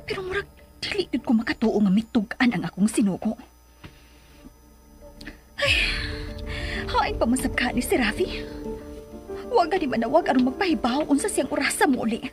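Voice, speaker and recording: A scheming young woman of radio melodrama, exasperated and dramatic. Her voice sits very high and swings widely, with music playing beneath. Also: very animated, moderate pace, pauses often. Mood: angry, scheming.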